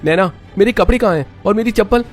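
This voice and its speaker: A man voicing a hot-tempered young husband in an animated family drama, harsh, loud and scolding. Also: high-pitched, fast, soft background music, voice pushed up. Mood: angry, scolding.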